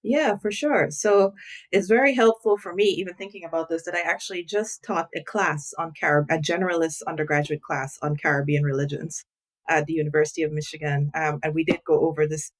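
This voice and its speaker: Low-voiced Guyanese-born woman professor. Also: brisk. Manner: thoughtful, explanatory, frequent ums. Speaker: guest professor. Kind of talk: podcast interview.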